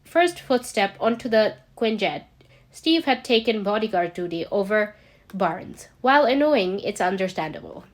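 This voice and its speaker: A woman's voice: medium pitch, animated, normal speed. A woman reading a scripted story aloud as an amateur audiobook narrator. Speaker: amateur audiobook reader of fan fiction.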